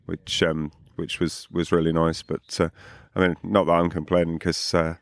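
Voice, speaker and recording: A man co-hosting a podcast, chatting casually at a campsite in a morning voice hoarse from drinking, relaxed and rambling, repeating words as he goes. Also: deep voice, moderate pace, no room sound, clean studio sound. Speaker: podcast co-host. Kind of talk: casual chat outdoors at a campsite.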